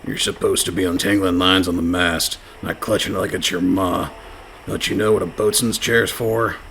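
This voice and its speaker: An actor playing a surly, bloodthirsty pirate with a sardonic, salty way of talking in a comedy radio play. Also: male voice, sits low, steady tone, normal speed, gravelly, a bit bright, slight background noise. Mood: scornful.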